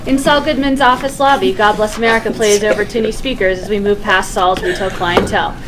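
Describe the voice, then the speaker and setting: A woman narrates screenplay action at a table read. Her voice sits at a middle pitch, and there is obvious ambient noise in the recording. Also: fairly even intonation, fairly quick. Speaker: stage-direction reader. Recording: noisy room ambience.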